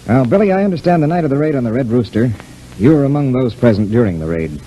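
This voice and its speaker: A man's voice: medium pitch, animated, quick pace, mellow, close to an everyday voice. An actor playing a stern, methodical male prosecutor in a 1940s radio serial. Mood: probing, stern. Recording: noisy old 1940s radio recording.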